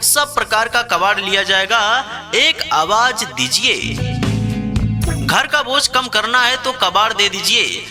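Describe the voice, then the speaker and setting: A man delivers a scripted street hawker's advertisement in a high-pitched voice. He calls out short, repetitive sales phrases at a slow pace, like an announcement meant for a loudspeaker cart. Music plays clearly behind him. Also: animated. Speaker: street announcer for a scrap buyer. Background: background music.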